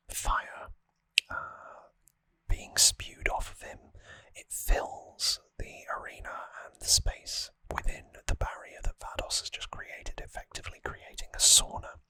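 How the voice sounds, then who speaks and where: A person whispering an ASMR recap in a hushed, breathy, conversational way, close to the microphone. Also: dry recording. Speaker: ASMR host. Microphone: close, dry microphone.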